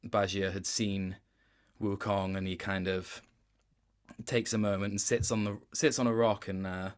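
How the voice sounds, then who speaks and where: A man's voice: fairly low pitch, level tone, measured pace, clean tone. A man chatting casually as an interview guest, reflective and hesitant as he thinks aloud. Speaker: guest, game voice actor.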